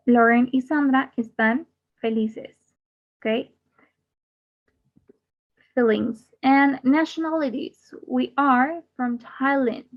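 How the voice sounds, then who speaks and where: Slow, patient woman teacher. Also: high-pitched. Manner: slow, repeats each example then translates it. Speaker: English teacher. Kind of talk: bilingual grammar lesson. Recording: muffled lo-fi audio.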